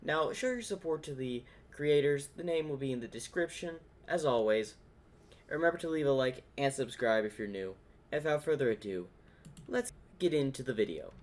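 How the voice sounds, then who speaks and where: A man's voice: medium pitch, lively intonation, normal speed. A man giving casual reaction commentary as a video host, thinking aloud as he speculates.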